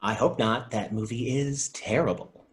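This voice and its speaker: A man playing an excitable, kindly and easily exasperated guy in a comedy table read, expressive in his delivery. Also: mid-range voice, moderate pace, lightly acted. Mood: wry. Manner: expressive, animated.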